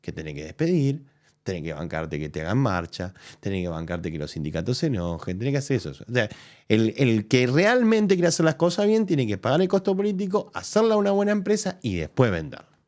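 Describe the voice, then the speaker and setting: Argentine man, political commentary, casual, argumentative, swinging pitch, middle-pitched voice. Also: brisk. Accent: Argentine Spanish. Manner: animated, argumentative, thinks aloud with restarts. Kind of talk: casual commentary reacting to a press conference.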